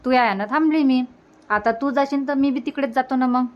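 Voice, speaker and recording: A woman's voice: sits high, a little fast, lo-fi sound, slight background noise, lightly acted. A woman voicing a homely older village grandmother in a cartoon comedy, speaking rural Varhadi Marathi. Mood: matter-of-fact.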